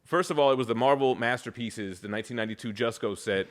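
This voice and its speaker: A man, hosting a casual chat and talking fast and eagerly, with casual swearing. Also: mid-range voice. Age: 30s. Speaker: podcast host.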